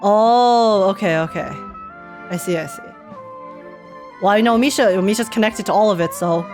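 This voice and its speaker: A woman's voice: medium pitch, normal speed. A woman with a mid-range voice gives live, informal reaction commentary. She rambles and thinks aloud in a conversational way, with faint music playing behind her. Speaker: streamer reacting to a game. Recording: game music in the background. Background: video game music and dialogue.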